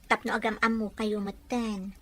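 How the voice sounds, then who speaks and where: A woman's voice: mid-range voice, flat delivery, normal speed, natural voice. A woman playing an affectionate, easygoing young woman in love, with a Filipino Ilocano accent. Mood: reassuring. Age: young adult. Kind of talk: radio drama dialogue.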